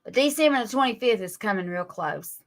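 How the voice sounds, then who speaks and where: A woman's voice: mid-range voice, animated, fairly quick. A woman, scolding and mocking her critics on a live stream in a sarcastic drawl. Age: 40s. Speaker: livestream guest.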